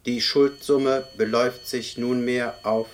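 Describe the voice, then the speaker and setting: A man playing an impersonal, machine-like debt-collection announcer, reading formal notices in a monotone for a German radio play. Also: medium pitch, measured pace, a little hiss or hum, close to an everyday voice. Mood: flat, officious. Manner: monotone, officious.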